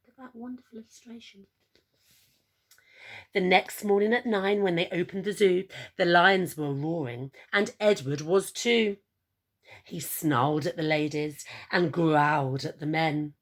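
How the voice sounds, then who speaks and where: Female children's storyteller: lowish, husky voice, unhurried and animated, with encouraging asides to young listeners. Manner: theatrical, encouraging questions to listeners. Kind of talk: expressive picture-book read-aloud with asides to young listeners.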